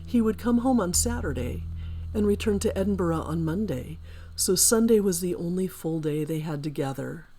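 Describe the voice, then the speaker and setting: A woman presenting a scripted narration in a measured, explanatory manner. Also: fairly low pitch, animated, dark tone, a little hiss or hum, poor audio. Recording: guitar music behind the voice. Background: plucked guitar music.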